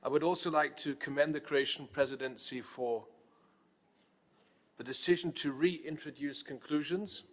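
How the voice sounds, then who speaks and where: A male parliamentary delegate gives a measured, formal conference speech with occasional fillers. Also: fairly high pitch, a bit bright. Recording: reverberant conference hall.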